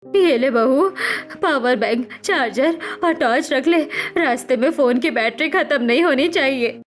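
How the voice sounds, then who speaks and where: A woman's voice: sits high, a little slow, a little hiss or hum. A woman voicing a worried, doting elderly mother-in-law in a cartoon story, with a pleading, wailing delivery.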